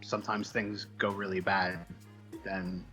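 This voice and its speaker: A man speaking as a guest writer in a casual interview, answering in a hesitant, halting way with trailing asides. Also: sits low, little pitch movement, sharp tone, faint music behind. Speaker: comic book writer, guest.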